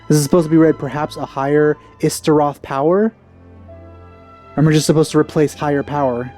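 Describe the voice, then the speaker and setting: A man's voice: fairly high pitch, steady tone, a little fast, dark tone. A man giving casual let's play commentary, with dry, grumbling asides. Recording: game music behind the voice.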